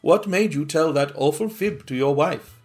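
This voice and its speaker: A man voicing a wise, gentle king in a storybook reading, speaking mildly and measuredly. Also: fairly high pitch, faint music behind, lightly acted. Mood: gently amused. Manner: mild, measured, kindly.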